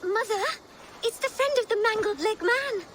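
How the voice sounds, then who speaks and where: A woman's voice: extremely high pitch, normal speed, a bit bright, a little hiss or hum. A woman voicing a curious, eager little child from a medieval village in a video game cutscene. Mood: curious, excited.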